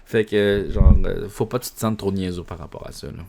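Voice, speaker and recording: Quebec French podcast host, low-voiced, animated, swears freely, casual chat. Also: male voice, brisk, gravelly. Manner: animated storyteller, swears and laughs often.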